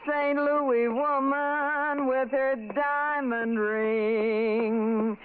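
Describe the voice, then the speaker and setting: A woman's voice: high voice, fairly even intonation, drawn-out words, faint music behind. An actress playing a brash, fun-loving young city woman with slangy, teasing talk in an old radio drama. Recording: muffled noisy old radio recording.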